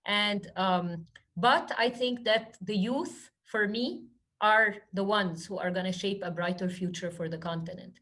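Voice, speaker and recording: A woman's voice: mid-range voice, a little slow, fairly bright tone. A woman from Egypt giving earnest, flowing answers as a guest speaker in a webinar, often saying "you know". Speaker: guest speaker, social entrepreneur. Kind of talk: webinar Q&A talk.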